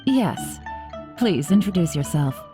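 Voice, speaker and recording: Low, composed commanding woman. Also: sing-song. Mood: brisk, assessing. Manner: composed, brisk, authoritative. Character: cool, shrewd, teasing woman in command. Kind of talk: video game voice acting. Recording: game music behind the voice.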